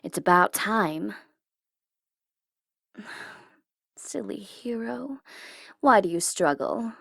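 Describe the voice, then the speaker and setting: A woman's voice: mid-range voice, lively intonation, very slow, gravelly, a bit bright, no echo, clean studio sound, heavily acted. A woman playing a smug tsundere supervillain in an ASMR audio roleplay, taunting and teasing theatrically, at times in a whisper. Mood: impatient, teasing. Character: smug tsundere female supervillain gloating over her captive hero.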